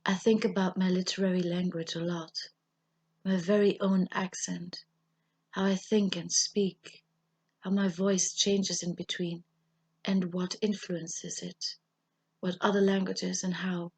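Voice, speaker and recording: A woman with a German accent, a native German speaker, reads a literary personal essay in a measured, solemn, husky and slightly breathy voice. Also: lowish voice, level tone, a little mellow. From German-speaking Europe. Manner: measured, solemn, deliberate phrasing with pauses. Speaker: writer reading her own essay.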